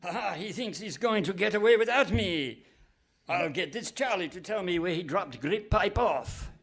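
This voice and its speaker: A man playing a feeble, whining, excitable villain's sidekick in a comic radio play. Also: sits high, moderate pace, heavily acted. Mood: sly. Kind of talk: Goon Show style radio comedy acting. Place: live performance on stage in a hall.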